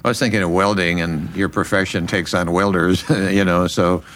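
A man with a very deep voice acts as the interviewer. He speaks conversationally, putting questions and short remarks to his guest as in an oral history. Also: fairly even intonation, normal speed, a bit bright, slight background noise.

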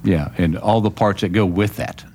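A man with a very low voice and a Texan accent. He talks in a measured, plain-spoken way, looking back on his life as the guest in an interview. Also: darkish tone, breathy edge. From small-town Texas, United States. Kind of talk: reflective personal recollection in an interview.

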